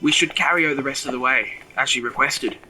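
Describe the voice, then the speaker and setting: A man's voice: medium pitch, a little fast, bright, forceful tone, slight background noise, rough recording. A man playing an earnest, uneasy mourner in an audio drama who wants last wishes honored.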